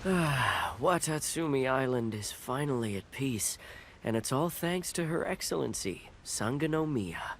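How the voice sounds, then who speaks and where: A relaxed fisherman villager from a video game, speaking in an easygoing, halting way. His voice is mid-pitched and plain. Faint noise sits behind him. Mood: content.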